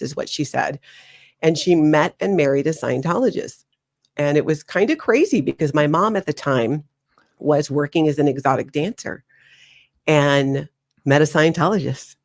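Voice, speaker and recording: A woman in her forties from Hawaii, a guest telling her life story in an interview, animated and laughing often. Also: sits low. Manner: animated, laughs often, slips into acted quotes.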